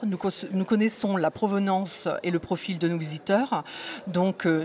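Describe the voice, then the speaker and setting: A woman with a somewhat low-pitched voice gives an explanatory statement. She speaks as a representative of a tourist office, setting things out point by point. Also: a little mellow.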